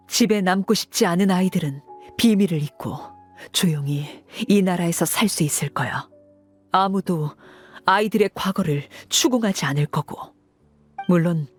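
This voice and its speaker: Cold commanding lady boss, low husky voice, calm and measured, soft game music behind. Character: cold, commanding adult female Harbinger, stern matriarch. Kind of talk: game cutscene dub.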